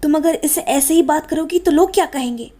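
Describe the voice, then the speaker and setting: A spiteful young sister-in-law in a cartoon family drama, voiced by a woman. She talks in a high voice and persuades slyly and calculatingly. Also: fairly quick, mellow, lightly acted. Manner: sly, persuasive.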